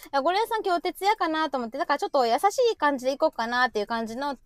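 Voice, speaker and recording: High, animated Kansai woman, chatty and teasing. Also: very fast, no echo, clean studio sound. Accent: Kansai Japanese. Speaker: host of a live audio show. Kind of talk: casual comic banter.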